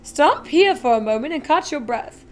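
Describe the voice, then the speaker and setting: A woman reading aloud and voicing an eccentric, excitable male chocolate factory owner who talks loudly and briskly. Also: high-pitched. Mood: eager, instructive. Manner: loud, brisk and commanding.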